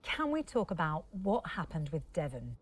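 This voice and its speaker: An actress playing a probing documentary interviewer asking questions in a video game cutscene. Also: female voice, low-pitched, sing-song, normal speed, husky, full character voice. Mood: inquisitive.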